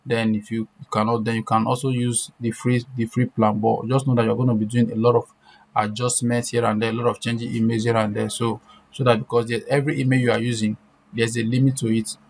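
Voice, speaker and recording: Very deep-voiced woman giving a tutorial. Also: flat delivery, fast talker, a little mellow, a little hiss or hum. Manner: repetitive, checking with listeners often. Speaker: tutorial presenter. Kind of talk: informal screen-share software tutorial.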